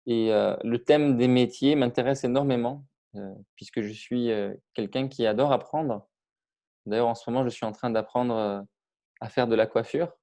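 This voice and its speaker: A man's voice: mid-range voice, moderate pace, dark tone. A middle-aged man from Iran, a public speaking trainer, talking casually with many hesitations and restarts. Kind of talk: casual interview on video call.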